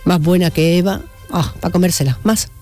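A woman's voice: on the low side, normal speed. A woman from hosting a radio show, chatting expressively with callers and reacting with interjections. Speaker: radio host. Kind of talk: lively chat with callers. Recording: music playing behind the voice.

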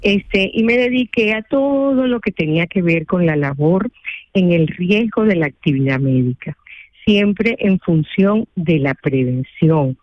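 A woman's voice: on the low side, expressive, unhurried, slight background noise. Venezuelan woman on a muffled phone line. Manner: earnest, explanatory, formal phrasing. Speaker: caller. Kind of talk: radio phone-in interview.

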